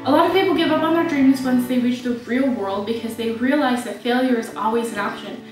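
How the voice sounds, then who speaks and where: A woman's voice: on the high side, a little fast, soft background music. A young woman giving a motivational, emphatic graduation speech to her class. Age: young adult. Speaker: graduating student speaker. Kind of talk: prepared commencement speech. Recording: very echoey room.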